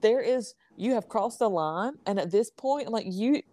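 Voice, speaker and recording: A woman's voice: a little high, animated, a little slow, mellow. A woman with a Southern US accent in casual chat as a podcast co-host, telling stories in an emphatic, dramatic, gossipy way.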